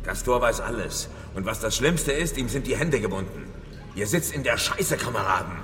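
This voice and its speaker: A man's voice: mid-range voice, animated, moderate pace, a bit bright, lightly acted. An actor playing a manipulative, scornful male ringleader of political comrades in a radio crime drama. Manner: commanding, scornful, rhetorical. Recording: echoey hall, long reverb, background noise.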